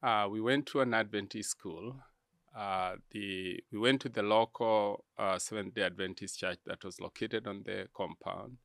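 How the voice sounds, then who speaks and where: A man with a Kenyan accent answering interview questions in a measured, halting, deliberate way. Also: lowish voice, steady tone, creaky, fairly bright tone, dry recording, clean studio sound. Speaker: interview guest, church media executive. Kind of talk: interview conversation, reflective answers.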